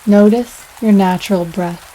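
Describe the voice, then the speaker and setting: A woman guides a meditation at a very slow pace, leaving space between her phrases. Her tone is mellow and relaxed, with a soothing delivery. Steady rain sounds run behind her voice. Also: medium pitch, a little hiss or hum, low-quality recording. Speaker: yoga nidra guide.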